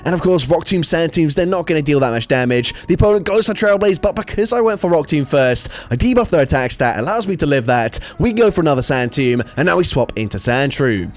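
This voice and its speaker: A young man with a sore throat giving brisk play-by-play commentary on his own online game battles. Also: on the high side, animated. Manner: brisk play-by-play with frequent fillers. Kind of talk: gameplay commentary.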